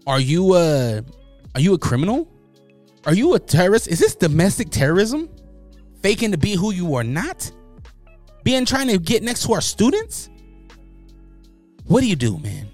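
Animated slangy man ranting. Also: high-pitched. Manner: animated, joking, slangy, mocking voices in quotes. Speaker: commentary host. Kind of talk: casual news commentary.

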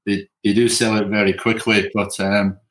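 A man's voice: on the low side, little pitch movement, moderate pace, fairly bright tone. A man who runs a whiskey shop, speaking in a businesslike way in an interview over a video call. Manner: businesslike, promotional.

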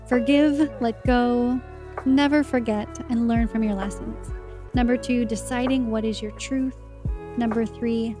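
A woman hosting a podcast, enthusiastic and encouraging as she thanks her guest and lists takeaways. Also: on the high side. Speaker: podcast host. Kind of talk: podcast chat and summary.

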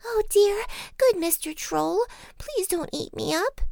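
A timid young storybook billy goat played by a woman, with a tiny, high voice. He sounds innocent and pleading, in a dry recording. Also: animated, slow pace, creaky, a little mellow, dry recording, exaggerated voice. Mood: frightened pleading. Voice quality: small, high little voice.

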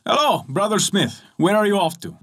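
A man voicing a meek, nervous little tailor in a storybook reading, higher than his own voice. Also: expressive, moderate pace, a bit bright, full character voice. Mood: friendly.

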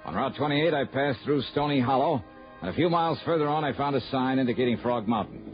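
Guy playing easygoing old-time radio investigator, medium pitch, wry, music behind, dull recording. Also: animated, fast talker, close to an everyday voice. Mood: dry storytelling. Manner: wry, conversational. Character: wry, easygoing freelance insurance investigator, male. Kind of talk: 1960s radio drama, first-person detective narration.

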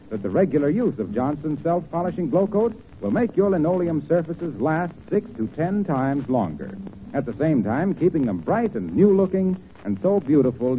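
A man's voice: medium pitch, slight background noise. A man announcing in polished 1940s radio style, with folksy, courteous scripted salesmanship. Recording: tinny 1940s radio recording.

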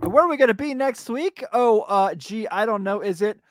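A man hosting a casual sports podcast, hyped and exclamatory, with a raspy voice today. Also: high voice, animated, no echo, studio quality. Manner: hyped, exclamatory, drawn-out hype words. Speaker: podcast host.

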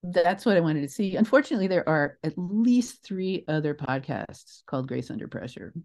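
Woman with a lowish voice chatting in an interview, thoughtful, goes off on little tangents. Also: animated, moderate pace, a little mellow. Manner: reflective, rambling with asides and self-corrections. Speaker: guest, theatre writer and creator. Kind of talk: conversational podcast interview.

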